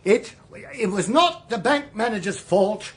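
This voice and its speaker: A man's voice: high voice, expressive, measured pace, slight background noise. A male actor in a radio comedy drama playing a dim, self-important, self-doubting politician who rehearses his speeches. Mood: plaintive doubt. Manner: oratorical when declaiming, hesitant in chat.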